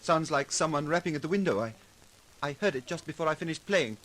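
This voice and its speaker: An actor playing a nervous, reserved young pianist with a hesitant, uneasy manner, in 1940s radio drama style. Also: male voice, a little high, lively intonation, quick pace, a bit bright. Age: young adult. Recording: vintage radio with faint noise, clicks.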